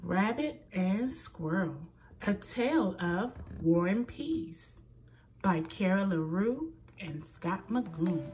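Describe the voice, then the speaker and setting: A woman reading a children's picture book aloud in a slow, expressive storytelling manner. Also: mid-range voice, distant mic, rough recording.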